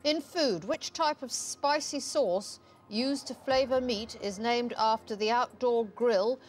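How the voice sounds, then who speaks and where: A woman runs a studio quiz with clipped, mocking delivery. Her voice sits a little high, and soft music plays faintly underneath. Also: slow. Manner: curt, cutting, mocking. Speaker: quiz show host. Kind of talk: scripted quiz hosting. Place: television studio.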